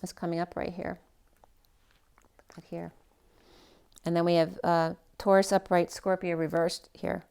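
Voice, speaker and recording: A woman's voice: on the low side, level tone, unhurried, creaky, pauses often, a little mellow, clear, resonant tone. A woman giving a casual tarot reading who is losing her voice. She thinks aloud, trails off and restarts her sentences. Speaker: tarot reader.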